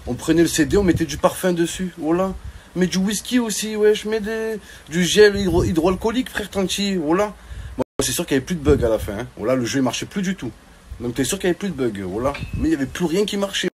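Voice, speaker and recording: A man's voice: high-pitched, lively intonation, fast talker. A man telling comic stories in casual street slang, in an exclamatory, mock-indignant way. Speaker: online comedian. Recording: clearly noisy background.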